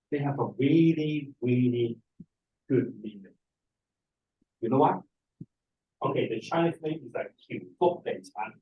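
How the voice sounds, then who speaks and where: Older-ish hong kong guy teaching a seminar, halting and repeating himself, mic kinda far away. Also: fairly high pitch, highs cut off. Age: middle-aged or older. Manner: halting, repeats words, hesitant phrasing. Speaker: feng shui master, seminar speaker. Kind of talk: informal seminar presentation. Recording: distant microphone in a room.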